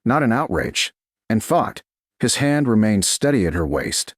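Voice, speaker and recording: Low-voiced man narrating with dramatic swings. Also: sing-song, a little slow, no echo, clean studio sound. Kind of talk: dramatic scripted romance audiobook narration.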